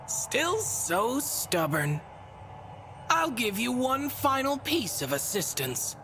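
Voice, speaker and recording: An actress playing a whimsical, bored god in a video game, speaking in theatrical, teasing rhymed riddles. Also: female voice, fairly high pitch, very animated, very slow, sharp tone, a little hiss or hum, exaggerated voice. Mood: teasing, grandiose. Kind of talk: video game cutscene voice acting. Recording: music under the voice.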